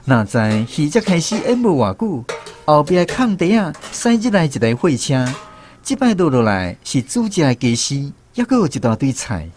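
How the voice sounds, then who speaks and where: A man's voice: fairly high pitch, very animated, moderate pace, over music. A man with a Taiwanese accent narrating a radio drama as a reminiscing storyteller. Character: reminiscing male storyteller of a touring troupe.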